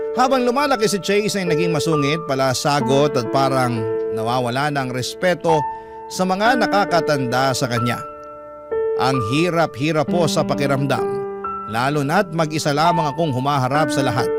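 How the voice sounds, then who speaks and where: A man narrating a listener's letter as a dramatic radio story. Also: a little high. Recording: loud music under the voice. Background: loud instrumental music.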